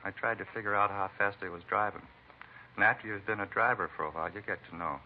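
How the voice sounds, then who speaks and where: A man's voice: on the low side, steady tone, fast, close to an everyday voice. An actor playing a beaten, talkative American truck driver in a vintage radio drama, rambling and folksy in plain working-man talk. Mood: weary recounting. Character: beaten truck driver, talkative, folksy, eccentric self-styled bump-reader. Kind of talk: 1940s-50s radio acting. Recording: muffled vintage radio recording, faint hiss.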